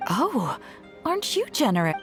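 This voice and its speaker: A woman's voice: a little high, wildly swooping pitch, normal speed, soft background music. A woman playing a blunt, wry bookshop keeper in a video game, with a teasing manner. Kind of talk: video game character acting.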